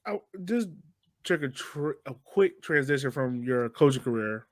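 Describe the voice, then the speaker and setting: A man's voice: mid-range voice, expressive, a little slow, no room sound. A man hosting a podcast, asking questions in a casual, conversational style. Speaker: podcast host interviewer.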